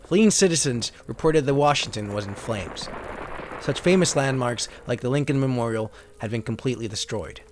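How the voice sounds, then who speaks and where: A man's voice: medium pitch, moderate pace, noisy background. A man reading a retro sci-fi story as a theatrical, solemn narrator. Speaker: host and reader.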